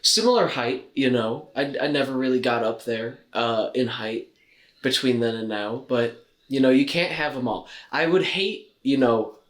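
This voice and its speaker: A man's voice: medium pitch, moderate pace, fairly bright tone. A young man co-hosting a podcast in casual chat, with dry, self-mocking humor. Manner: dry, joking self-mockery. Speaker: podcast co-host. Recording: roomy, echoey room.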